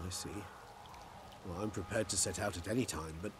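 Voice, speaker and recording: Refined, loyal, scholarly vampire, male actor, British, deep and courteous, game cutscene with clear ambient noise. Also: brisk, close to an everyday voice. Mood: concerned, polite. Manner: erudite, courteous, wordy. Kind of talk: video game acting.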